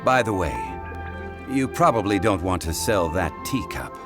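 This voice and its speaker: A man playing a calm, learned consultant in a video game, speaking formally and deliberately. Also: sits low. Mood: composed. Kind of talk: scripted game voice acting. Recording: game audio with background music. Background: clear music.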